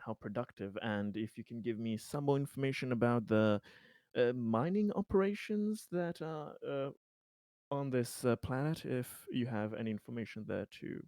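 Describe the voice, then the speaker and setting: Man, roleplaying game player, mid-pitched voice, hesitant, formally worded improvised questioning, dry close sound. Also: moderate pace, darkish tone, dry recording. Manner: hesitant, formal wording, searching for words.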